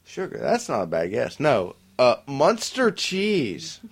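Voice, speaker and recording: A man's voice: mid-range voice, huge pitch swings, slow pace, husky. A man in his thirties hosting a crude casual chat, laughing often and reading out news stories. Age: 30s.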